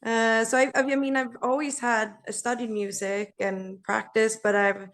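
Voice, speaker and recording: Raspy singer lady chatting on a podcast, friendly, kinda rambly, laughs a lot. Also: a little high, fairly even intonation, measured pace, fairly bright tone. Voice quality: naturally raspy voice. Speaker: singer, guest. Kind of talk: casual interview chat.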